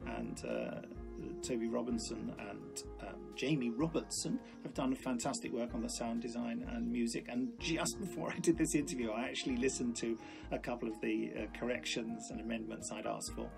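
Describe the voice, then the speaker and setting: A man's voice: medium pitch, expressive, normal speed, creaky, music in the background. A man explaining his production work conversationally in an interview, hesitating and correcting himself as he goes. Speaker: audio drama producer.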